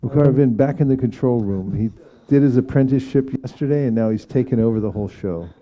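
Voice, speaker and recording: A man speaking as a spiritual teacher in an informal devotional discussion, reflective and halting in his phrasing. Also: mid-range voice, dark tone, highs cut off, slight background noise. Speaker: spiritual teacher leading a scripture class.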